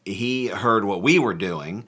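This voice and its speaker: A man from a band who jokes around and tells the story of arranging a song in an animated, playful way. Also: lowish voice, slow, husky. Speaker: band member discussing a song. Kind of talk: casual conversational chat.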